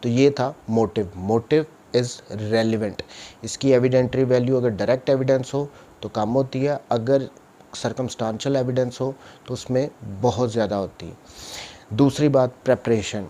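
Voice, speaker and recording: A man's voice: mid-range voice, fairly even intonation, normal speed, warm tone. A man with a Pakistani accent giving an explanatory law lecture, mixing in English terms and answering his own questions step by step.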